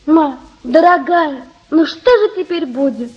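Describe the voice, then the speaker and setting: Impatient young daughter, high voice, dull recording, emotional, radio play. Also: female voice, a little slow. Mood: anxious.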